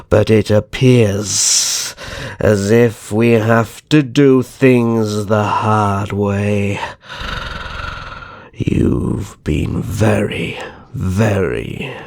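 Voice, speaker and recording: A man playing a sly, sadistic vampire naga kidnapper in an ASMR roleplay, speaking with mock courtesy and slow theatrical menace. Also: fairly low pitch, a little mellow, no room sound. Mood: amused menace. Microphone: close microphone, dry.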